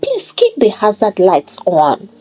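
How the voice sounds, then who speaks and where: A woman voicing a calm, composed young wife in a story, polite and measured. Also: medium pitch, full character voice. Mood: controlled.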